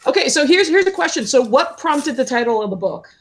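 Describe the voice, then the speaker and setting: A woman in her fifties hosting a casual podcast, talking loudly and chattily, laughing often and swearing freely. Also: high voice, sing-song, a little fast. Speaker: podcast host.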